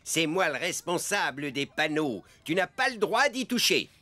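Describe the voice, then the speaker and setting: A man's voice: on the high side, very animated, moderate pace, sharp tone. A man voicing an officious, scolding sign warden, a stern stickler for rules, in a French children's cartoon.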